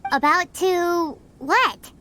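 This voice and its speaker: A woman voicing a small, chirpy, childlike fairy companion in a video game, with a squeaky voice. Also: very slow, slight background noise, heavily acted. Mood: curious. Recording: loud game music behind voice. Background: loud game music.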